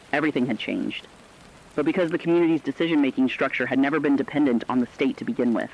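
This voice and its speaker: A mid-pitched man acting as a reflective radio storyteller relays accounts on a fictional broadcast. He sounds earnest and contemplative, through a dull, lo-fi radio signal with rain behind. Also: lightly acted.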